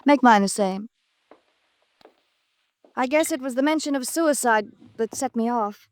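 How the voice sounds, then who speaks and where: An actress playing a guarded, grieving young widow in a 1950s radio drama, hesitant and defensive. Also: female voice, on the high side, a little slow, lightly acted. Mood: apologetic, shaken.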